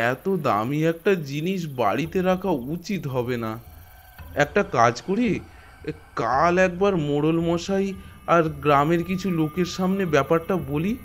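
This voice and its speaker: A man voicing a poor, honest, god-fearing village husband in a Bengali animated folk tale, with a West Bengal accent and a hesitant, stammering, earnest delivery. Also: fairly high pitch. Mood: earnest, cautious. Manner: hesitant, stammering, gently reassuring. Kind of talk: animated Bengali folk ghost story dialogue.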